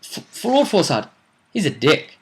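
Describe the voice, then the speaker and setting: A man's voice: a little high, wildly swooping pitch, normal speed. Casual man recapping a game, jokey. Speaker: host of a tabletop role-play vidcast. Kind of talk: casual chat recapping a role-play game tournament.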